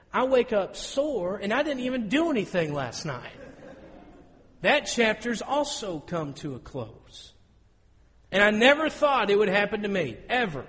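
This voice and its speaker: A man in his thirties preaching a sermon in an auditorium, telling stories with lively, rising emphasis. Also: fairly high pitch, long reverb tail. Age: 30s. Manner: storytelling, animated with rising emphasis.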